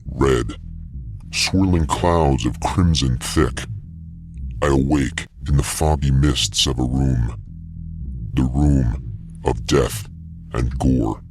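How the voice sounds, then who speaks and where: A man reciting dark fantasy verse in an ominous, dramatic style. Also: rumbling low, slow pace, long pauses. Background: music.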